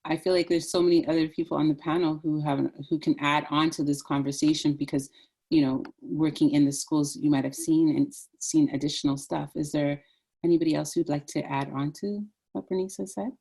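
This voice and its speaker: Woman moderator, low voice, animated and chatty, lots of "like" and "you know". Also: a little fast, gravelly, husky. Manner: animated, chatty, many fillers. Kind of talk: panel discussion.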